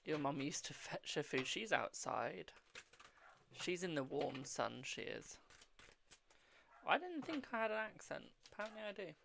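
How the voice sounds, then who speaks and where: A woman's voice: low-pitched, very animated, unhurried, gravelly, pauses often, a bit bright, slight background noise. A woman from the UK with a British accent, casually chatting on her tarot livestream from home. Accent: British English. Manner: chatty, hums to herself, calls out to family. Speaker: tarot reader livestream host. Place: home room. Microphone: cheap webcam mic.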